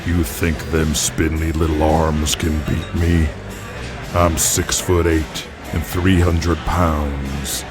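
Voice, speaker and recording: A man voicing an enormous, menacing Southern truck driver with a slow, drawling, threatening manner in an audio drama. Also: very deep voice, level tone. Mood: scornful. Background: music.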